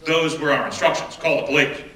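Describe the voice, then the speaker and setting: A man giving a first-person dramatic reading of an oral history, measured and deliberate, often dropping to hushed phrases. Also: a little high, fairly even intonation. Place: stage or event room. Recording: roomy, distant mic with faint background noise.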